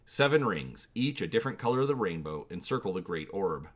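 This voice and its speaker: A man's voice: deep voice, big pitch swings, fairly quick. A man hosting his channel, giving a dramatic reading of an adventure gamebook and inviting viewers to comment on choices.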